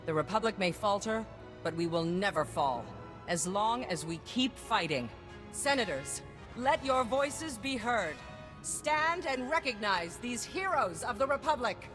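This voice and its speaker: A woman's voice: mid-range voice, lively intonation, unhurried, music in the background, natural voice. A woman playing a commanding senate leader in a video game, speaking in ceremonial oratory. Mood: rousing.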